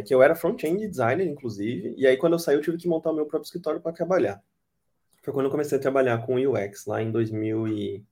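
Brazilian countryside accent with strong retroflex R, male, mid-pitch, reflective storyteller. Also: darkish tone. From Brazil, countryside interior. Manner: reflective, storytelling, hesitant restarts. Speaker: podcast guest, UX designer. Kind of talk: casual podcast discussion.

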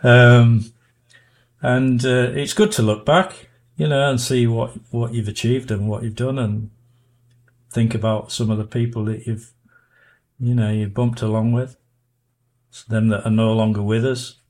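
An older man with a Yorkshire accent, a diver and author, reminiscing in a halting, self-effacing way as a guest in a casual podcast interview. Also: medium pitch, level tone, a little mellow. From Yorkshire, northern England.

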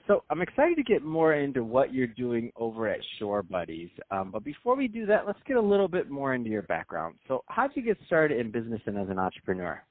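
A man's voice: medium pitch, huge pitch swings, brisk, hardly any pauses, dry recording, phone-quality sound. A man hosting a business podcast, reading an upbeat promotional intro and then interviewing his guest. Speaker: podcast host. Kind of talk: scripted show intro and interviewing.

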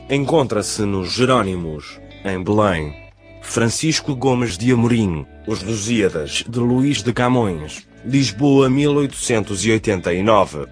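A man reading a history book aloud, steady and formal, slowing to recite dates and sources. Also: on the low side. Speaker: narrator.

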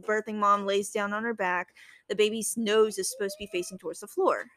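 A woman's voice: mid-range voice, steady tone, fairly quick, fairly bright tone, no room sound. A young adult woman telling a personal story as a guest, in a chatty, casual way with lots of "like" and quoted dialogue.

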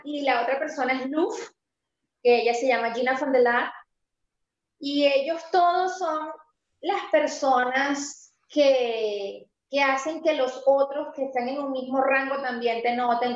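A woman being interviewed in a casual conversation, animated and emphatic, slowing down to stress her points and laughing easily. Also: high voice. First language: Spanish. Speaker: interview guest, pastry entrepreneur. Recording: roomy, slightly dull sound.

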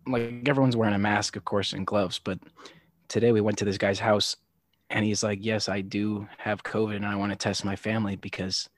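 A young man tells stories in a relaxed, rambling way, his voice sitting a little low. The sound is dry and close, like a podcast mic in a small space. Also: fairly quick, dry recording. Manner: laid-back, many "like" fillers, low-key delivery. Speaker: podcast co-host. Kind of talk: casual storytelling chat.

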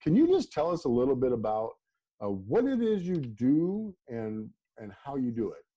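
A man hosting a casual podcast interview, talking in a halting, conversational way with frequent restarts. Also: mid-range voice, very animated, dark tone, breathy edge, lo-fi sound. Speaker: podcast host.

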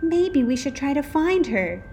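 A woman voicing worried, imaginative schoolchildren in a storybook, excitable and wide-eyed. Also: sits high, unhurried, creaky, a little hiss or hum, voice pushed up, heavily acted.

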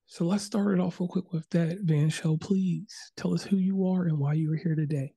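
A man's voice: a little high, steady tone, normal speed, creaky, warm tone, breathy edge, no echo, clean studio sound. A man hosting a podcast interview over a video call, chatty and loose, with plenty of fillers. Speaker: podcast host.

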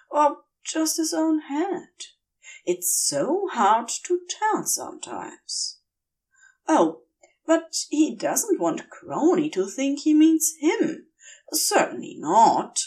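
Woman reading a story out loud, really slow, lots of up and down in her voice, expressive narrator. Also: mid-range voice. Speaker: fan fiction reader, narrator.

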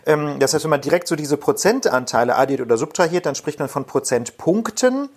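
A man's voice: fairly high pitch, expressive, brisk, slightly rough. A man from Berlin co-hosting a political podcast, explaining things patiently with frequent fillers. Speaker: podcast co-host, lawyer. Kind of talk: casual political podcast talk.

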